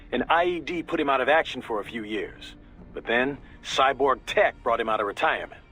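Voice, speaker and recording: Chummy american support officer on game comms, bit husky, easygoing briefing style, soft music under it. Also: male voice, medium pitch. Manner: casual, musing, briefing. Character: friendly, supportive male mission-support briefer. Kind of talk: video game radio dialogue.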